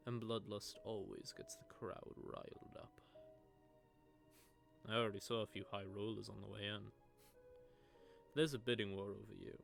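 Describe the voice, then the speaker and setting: Man playing a creaky-voiced, smug male vampire. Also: lowish voice, fairly bright tone. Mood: musing, possessive. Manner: teasing, drawling, conversational taunting. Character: smug, sardonic male vampire gloating over a captive hunter. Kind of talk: scripted audio roleplay monologue addressed to the listener. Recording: background music under the voice.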